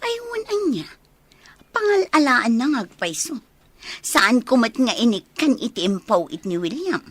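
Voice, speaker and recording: Woman doing nosy suspicious schemer for radio drama, high voice, swoopy, dry sound. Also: big pitch swings, measured pace, slightly rough, no room sound, full character voice. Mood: suspicious, probing. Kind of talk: radio comedy drama acting.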